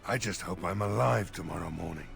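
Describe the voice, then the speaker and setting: A gravelly, worried village mayor. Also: male voice, sits low, brisk, a bit bright, faint music behind, heavily acted. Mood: weary. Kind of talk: video game voice acting.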